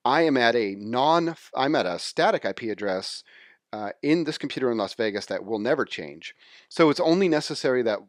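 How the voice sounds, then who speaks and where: Man giving a dry, informal software walkthrough. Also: mid-range voice, no echo. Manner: explanatory, step-by-step, informal. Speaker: software demonstrator. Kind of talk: informal screen-share tutorial.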